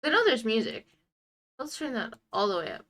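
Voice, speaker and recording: A woman's voice: fairly high pitch, big pitch swings, normal speed, a bit bright. A young woman with a congested nose chatting casually on a game stream, drifting into muttered asides to herself. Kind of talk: casual live stream chat while playing a game.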